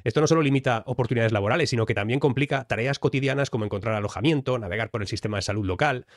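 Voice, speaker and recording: A man's voice: medium pitch, racing, no echo, clean studio sound. A man from Spain, delivering fluent, emphatic analytical commentary. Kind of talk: explanatory commentary.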